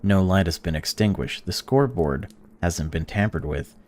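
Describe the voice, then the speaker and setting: A man hosting a gaming channel, reading a visual novel's text aloud while playing and breaking off into excited or indignant reactions. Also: deep voice, normal speed. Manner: reads game text flatly, then blurts excited or indignant reactions.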